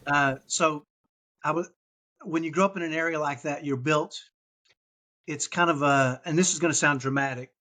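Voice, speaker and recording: A man with a mild Texan accent, talking as a guest on a parenting podcast. His voice sits a little high for a man. He rambles and restarts, circling back with fillers as he goes. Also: a bit bright. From Texas. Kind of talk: casual interview chat.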